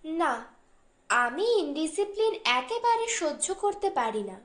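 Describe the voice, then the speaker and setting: A woman narrator voicing a strict, scornful elder sister in an audiobook story, sharp-tongued and dismissive, in an echoing room. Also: very high voice, big pitch swings, slow pace, heavily acted. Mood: stern.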